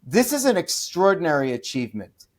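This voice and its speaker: An American man, a podcast guest and author, giving opinionated interview answers with many restarts and fillers, then bursting into loud, scornful emphasis. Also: on the high side, very animated, brisk. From United States.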